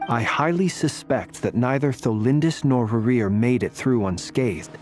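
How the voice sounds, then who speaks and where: A man voicing a polite, quietly confident analytical gentleman in a game, formal and deliberate. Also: mid-range voice, soft background music, close to an everyday voice. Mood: inquiring, confident. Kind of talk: scripted game dialogue.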